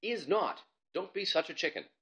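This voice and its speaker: A man's voice: high voice, lively intonation, gravelly, fairly bright tone, pitched above the performer's own voice, full character voice. A man voicing a bossy, impatient and daring schoolgirl from a children's storybook, with goading, insistent delivery. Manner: insistent, goading, brisk commands.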